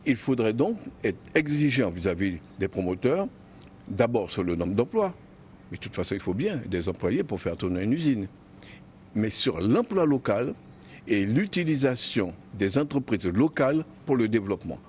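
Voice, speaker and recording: A man who heads an association, giving a deliberate, emphatic interview statement. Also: lowish voice, very animated, darkish tone, slight background noise. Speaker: association president.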